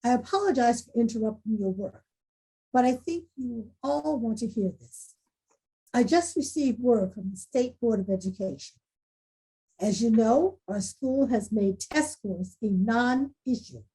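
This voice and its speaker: A woman playing a proud, composed school principal in a screenplay table read, speaking formally with a husky voice. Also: fairly high pitch, animated, unhurried, warm tone, full character voice, rough recording. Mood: formal, announcing.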